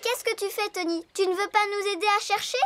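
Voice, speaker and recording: Very high-pitched little girl elephant. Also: fairly quick, faint music behind. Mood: caring, urging. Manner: lively, childlike, exclamatory. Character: cheerful, caring young girl elephant, eager best friend. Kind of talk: children's cartoon dub.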